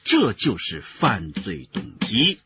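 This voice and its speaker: An actor playing a seasoned police detective in a radio drama, explaining with deliberate authority. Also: male voice, lowish voice, wildly swooping pitch, sharp tone, faint music behind, heavily acted. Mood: analytical, grave. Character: seasoned male police detective leading case analysis. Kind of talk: Chinese radio drama acting. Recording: muffled radio drama recording.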